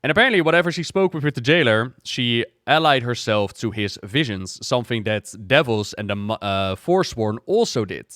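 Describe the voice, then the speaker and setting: A man hosting a gaming reaction video, talking casually and retelling things in an animated way with repeats for emphasis. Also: medium pitch, moderate pace, hardly any pauses, no room sound, studio quality.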